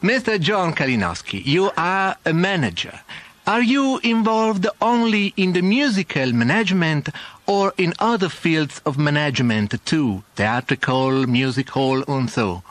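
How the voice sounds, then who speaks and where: An Italian man with an Italian accent hosting a live radio interview in a halting, polite way. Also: fairly high pitch, animated, fairly bright tone. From Italy. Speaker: radio host. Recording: orchestral music under radio talk. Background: orchestral music.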